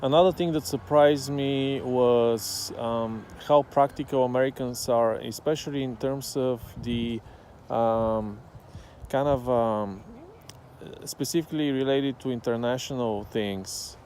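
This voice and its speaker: A man from Bulgaria whose first language is Bulgarian, talking casually and thoughtfully with many pauses and fillers. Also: medium pitch, fairly even intonation, a little mellow, slight background noise. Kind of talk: casual talk to camera.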